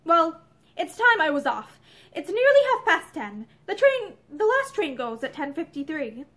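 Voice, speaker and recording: A woman voicing a reserved, quietly bitter man, measured and restrained, in a story. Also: very high-pitched, sing-song, full character voice. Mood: polite. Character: reserved, guarded male former sweetheart, quietly bitter.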